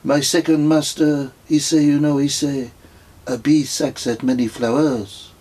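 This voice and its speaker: A man's voice: a little high, level tone, measured pace, mellow, slightly rough, voice pushed up. A man voicing a serene, smug male pilgrim who speaks broken English with a strong French accent, in a sing-song, self-satisfied way. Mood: sagely.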